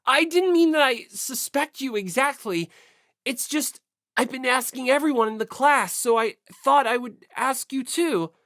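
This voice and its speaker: A woman's voice: high-pitched, a little slow, a bit bright, no room sound, studio quality, pitched above the performer's own voice. A woman voicing an earnest, easily flustered teenage schoolboy with a hesitant, apologetic way of talking. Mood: anxious, earnest.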